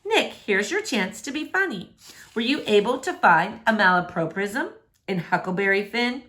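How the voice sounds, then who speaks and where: A woman's voice: mid-range voice, expressive, normal speed, fairly bright tone, echoey room, lightly acted. A woman voicing a prim, sarcastic female English teacher with exaggerated, emphatic classroom delivery in a read-aloud story. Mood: dry, interrupting.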